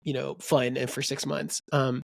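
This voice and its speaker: A man's voice: mid-range voice, moderate pace, vocal fry, dry recording. A man co-hosting a book podcast, rambling in casual chat and quoting people in voices. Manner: rambling, animated, quotes people in character voices. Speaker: podcast co-host.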